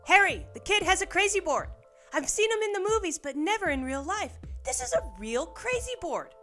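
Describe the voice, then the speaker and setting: Wisecracking female detective for audio drama, played by a woman: brash, slangy, animated, music behind. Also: very high-pitched, normal speed, voice pushed up, exaggerated voice. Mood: excited, teasing. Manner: blunt, slangy, sardonic.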